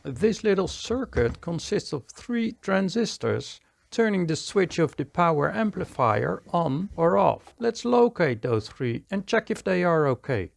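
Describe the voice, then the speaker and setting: A man's voice: sits high, big pitch swings, a little slow, vocal fry, hardly any pauses. A man talking methodically through a hands-on repair, step by step, as the host. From Netherlands. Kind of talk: hands-on repair tutorial commentary.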